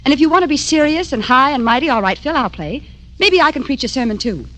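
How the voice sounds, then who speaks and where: An actress playing a sarcastic, fiery doctor's wife in 1950s radio drama style. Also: female voice, high-pitched, lively intonation, fast talker, a bit bright, low-quality recording. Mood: scornful, sarcastic. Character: sarcastic, fiery doctor's wife; also a slangy island bar girl. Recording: old AM radio drama, music behind.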